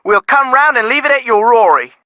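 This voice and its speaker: A man's voice: extremely high pitch, lively intonation, brisk, sharp tone, no room sound, dull audio, heavily acted. A man playing a chirpy, chatty Cockney pub barman in a video game, full of rhyming slang. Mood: chirpy, helpful. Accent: Cockney London. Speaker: pub worker taking phone orders.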